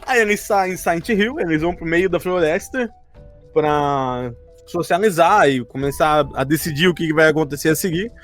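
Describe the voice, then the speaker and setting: A man's voice: fairly high pitch, normal speed, a bit bright, soft background music. A Brazilian man casually recapping a game campaign among friends, in an informal, rambling storytelling way. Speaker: podcast co-host and game master recapping a tabletop campaign.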